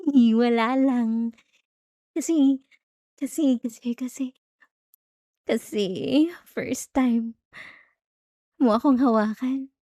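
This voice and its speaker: A woman's voice: a little high, fairly even intonation, slow, pauses often, warm tone, no echo, studio quality. A woman with a Filipino accent playing an obsessive, possessive stalker in an intimate ASMR roleplay, coy, teasing and sweetly menacing. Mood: giddy, lovestruck. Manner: coy, teasing, giggly, lingering over words.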